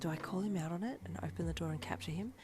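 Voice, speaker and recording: A woman's voice: lowish voice, very fast, over music, close to an everyday voice. A woman voicing a suspicious winged female hero with a firm, demanding manner in an audio story. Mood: wary thinking. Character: fierce, suspicious winged female hero, commanding and sharp-witted.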